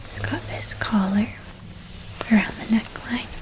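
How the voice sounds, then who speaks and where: A nurturing witch, played by a woman, speaks entirely in a soft, breathy whisper. She takes her time, doting and unhurried, lingering on each small detail. It is intimate ASMR roleplay, gentle from start to end. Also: a little high, steady tone, natural voice, low-quality recording. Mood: gentle, caring. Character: gentle, nurturing witch helping a young student pack.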